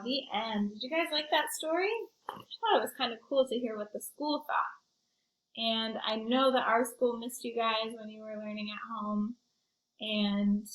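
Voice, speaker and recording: A woman reading a storybook aloud in an expressive, child-directed way, with chatty asides to her young listeners. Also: fairly high pitch, roomy sound, poor audio. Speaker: school librarian reading aloud.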